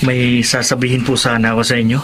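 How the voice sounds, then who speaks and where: An actor playing an eager, polite and ambitious Filipino teenage son in a radio drama. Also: male voice, medium pitch, little pitch movement, fast talker, noisy background, close to an everyday voice. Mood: eager, pleading.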